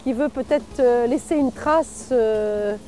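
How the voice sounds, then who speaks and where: A middle-aged woman from Morocco who is an artist, speaking thoughtfully in an interview with frequent hesitant pauses. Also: fairly high pitch, warm tone, slight background noise. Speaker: artist and cultural organizer. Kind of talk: reflective interview.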